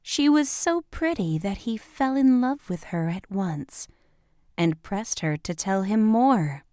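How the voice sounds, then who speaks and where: A woman narrating a children's bedtime story in an expressive, measured storybook style. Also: medium pitch, no echo, studio quality.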